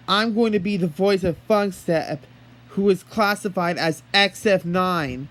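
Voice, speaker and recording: A man talking in an earnest, halting way, as if holding a one-sided phone conversation. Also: high-pitched, poor audio. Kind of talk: one-sided pretend phone call, rambling monologue.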